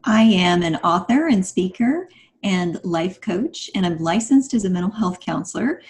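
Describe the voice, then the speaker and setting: A woman's voice: fairly low pitch, animated, moderate pace, darkish tone. A woman talking conversationally as a guest co-host on a podcast. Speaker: guest co-host, author and life coach.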